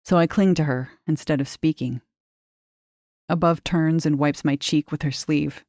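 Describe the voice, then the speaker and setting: A woman with a low voice narrates short fiction. Her delivery is measured and expressive, captured close and dry, with no room sound. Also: dry recording. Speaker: short story reader. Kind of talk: scripted literary narration.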